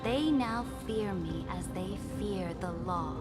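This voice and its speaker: A woman's voice: on the low side, takes it slowly, in a large hall. An actress playing a proud, imperious female emperor in a video game, speaking in grand, commanding formal oratory. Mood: menacing, imperious. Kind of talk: dramatic video game voice acting. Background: music.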